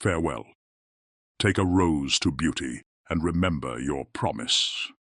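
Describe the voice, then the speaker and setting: Man acting a very deep, stern beast. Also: a little slow, a bit bright, dry recording, clean studio sound, exaggerated voice. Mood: stern, commanding. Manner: stern, commanding, formal. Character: grim, commanding male beast in a fairy tale. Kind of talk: dramatized fairy tale audiobook.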